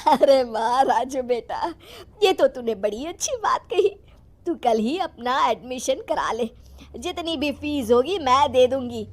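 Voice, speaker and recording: A high-voiced, domineering old grandma acted by a woman from a moral storybook tale. She speaks with heavy emphasis and exclamations, like someone used to ordering the household around. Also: animated, a little slow. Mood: doting, proud. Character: grumpy, scolding old grandmother who dotes on her grandson.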